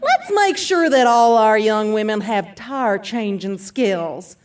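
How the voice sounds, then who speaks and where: A brash, upbeat woman running a self-defense seminar in an audio play. Her voice sits fairly high and swings in lively arcs, with emphatic motivational delivery and folksy asides. She is heard a little away from the microphone, as on a stage. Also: takes it slowly, recorded from a distance. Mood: chatty, jokey. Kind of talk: audio theatre monologue to a seminar audience.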